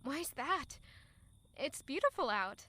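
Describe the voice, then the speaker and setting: A woman's voice: high-pitched, very animated, slow pace, bright, forceful tone, a little hiss or hum, heavily acted. A woman playing a romantic, curious young woman in an audio drama, narrating and speaking with a playful sense of wonder. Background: faint music.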